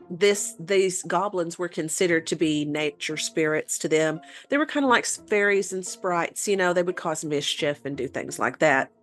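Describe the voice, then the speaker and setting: Female podcast presenter, low-pitched, folksy and conversational, walking through a mystery case. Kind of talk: conversational storytelling of a paranormal case.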